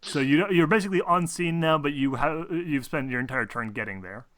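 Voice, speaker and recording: A man acting as game master, casually narrating a tabletop game in an informal, halting way over a voice call. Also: on the high side, fairly bright tone, no room sound. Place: remote online voice call.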